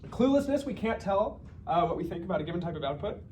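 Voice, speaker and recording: A man's voice: sits high, lively intonation, quick pace, a little mellow, slight background noise. A man giving an informal research talk, thinking aloud conversationally with self-corrections and asides.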